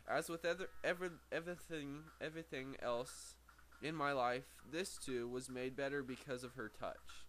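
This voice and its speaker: A man's voice: mid-range voice, fairly even intonation, normal speed, fairly bright tone. A man reading a book aloud as an amateur, stumbling over words, correcting himself and slipping into off-script asides. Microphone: very sensitive microphone. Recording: faint background noise, sensitive microphone.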